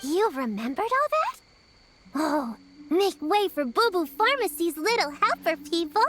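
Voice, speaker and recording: A woman's voice: very high voice, very animated, unhurried, fairly bright tone, slight background noise, full character voice. A woman voicing a cheerful, caring and responsible little girl in a video game, chatty and encouraging. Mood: caring, upbeat.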